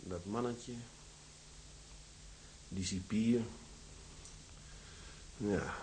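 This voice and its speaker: Grim sea captain, hissy recording. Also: male voice, lowish voice, drawn-out words, darkish tone, poor audio. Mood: brooding. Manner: terse, grim. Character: brooding, stubborn, embittered sea captain just out of jail. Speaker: actor in a radio drama.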